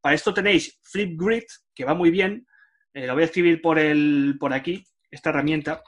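A man's voice: on the high side, normal speed, fairly bright tone. A man hosting an online workshop for teachers, chatty and hesitant with many fillers. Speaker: workshop host for Spanish teachers. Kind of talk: casual online workshop talk.

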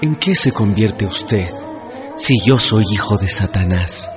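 A man's voice: on the low side, lively intonation, slow pace, fairly bright tone. An Ecuadorian man playing an earnest young schoolteacher, in love and wounded, in a radio drama. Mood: accusing. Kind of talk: Spanish-language radio drama acting and narration. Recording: long reverb, music behind.

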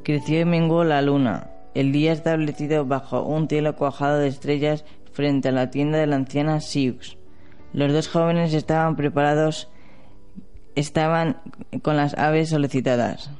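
A man's voice: fairly high pitch, fairly even intonation, moderate pace, darkish tone, smooth, faint music behind. A man narrating a legend in an amateur radio play, reading aloud steadily. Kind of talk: read storybook narration.

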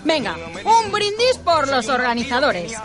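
A woman acting an excitable, gossipy party worker in a comic radio drama. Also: sits high, unhurried. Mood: curious. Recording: party music behind the voice.

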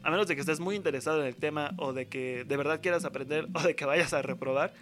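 A man's voice: on the high side, quick pace, fairly bright tone, faint music behind. A young adult man with a Mexican accent, a university student talking casually in a rambling, slangy way and acting out what others said. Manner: slangy, rambling, acts out quoted speech. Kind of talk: casual conversation.

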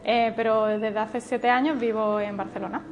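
A woman's voice: fairly high pitch, steady tone, moderate pace, dull audio, music in the background. A woman from Málaga leading an informal group workshop, encouraging people and prompting them with questions. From Málaga, Spain. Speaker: workshop leader.

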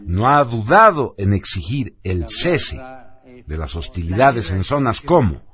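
A man's voice: fairly low pitch, big pitch swings, measured pace, a bit bright. A man presenting radio news, reading scripted narration.

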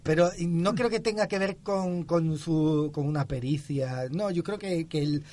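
A man in a book club discussion, talking hesitantly with halting restarts and a chuckling, anecdotal manner. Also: on the high side, darkish tone. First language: not Catalan. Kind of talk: casual discussion.

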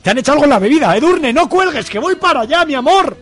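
A man's voice: high voice, huge pitch swings, normal speed, husky, voice pushed up, heavily acted. A man playing a possessive, lovesick young man in an amateur radio soap, melodramatic, insistent and pleading. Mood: frantic, pleading. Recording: music behind the voice.

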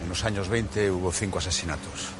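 Actor doing grave guy in a tv thriller, deep voice, noisy street-like background. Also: a little fast, close to an everyday voice. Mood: grave, ominous. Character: grave man recounting old unsolved murders. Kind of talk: dramatic exposition in a thriller trailer.

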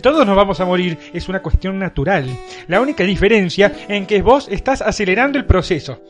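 An Argentine man playing a smug, unflappable aristocrat with a secret cause in a comic radio play, wry and deadpan. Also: sits high, brisk, faint music behind. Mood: defiant.

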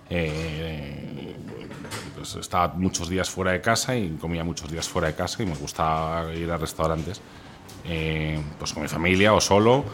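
A man's voice: deep voice, slight background noise. A man with an accent from Spain, chatting candidly and colloquially as a guest in a casual interview. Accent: Spanish from Spain. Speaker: interview guest, food recommendation influencer. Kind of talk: casual interview chat, anecdotes.

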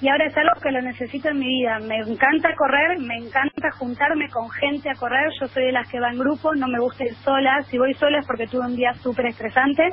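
A woman's voice: high voice, steady tone, brisk, hardly any pauses. A woman in her thirties from Argentina, her accent mixing Argentine and Spain Spanish, telling her story at length as a guest on a radio show. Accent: Argentine with Spanish (Spain) mix. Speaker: guest columnist. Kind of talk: phone interview. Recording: muffled lo-fi line with faint noise.

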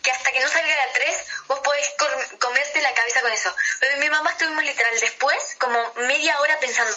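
A woman's voice: sits high, fast, bright, forceful tone, soft background music, poor audio. A young woman with an Argentine accent, chatting informally as a radio co-host, chatty and given to exaggeration. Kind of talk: casual chat, film and series recommendations.

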